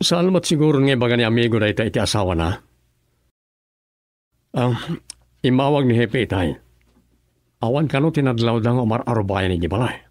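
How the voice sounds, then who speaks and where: An actor playing a serious, worried provincial governor in a radio drama, with an Ilocano Filipino accent. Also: male voice, medium pitch, lively intonation, fairly quick, pauses often, dry recording, clean studio sound, lightly acted. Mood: concerned.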